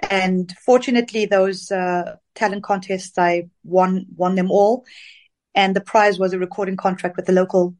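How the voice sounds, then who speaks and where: A middle-aged woman from Zambia, an interview guest, talking through her career in a relaxed, reflective way with many fillers. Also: medium pitch, moderate pace. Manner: warm, reflective storytelling with fillers. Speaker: interview guest, dance singer. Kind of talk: casual interview conversation.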